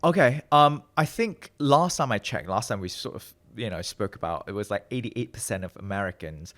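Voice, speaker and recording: Guest researcher, man in his thirties, from Malaysia; Malaysian-British accent with Midlands traces, lowish voice, animated storyteller. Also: normal speed. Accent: Malaysian-British English with Midlands traces. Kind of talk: conversational interview.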